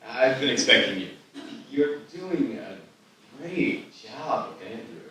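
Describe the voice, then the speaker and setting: Man playing hesitant guy, swooping pitch, echoey. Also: expressive, slow, sharp tone, far from the mic, slight background noise. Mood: tentative. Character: hesitant, eager man seeing a paid companion. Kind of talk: screenplay table read before a live audience. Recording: very echoey room, off the mic.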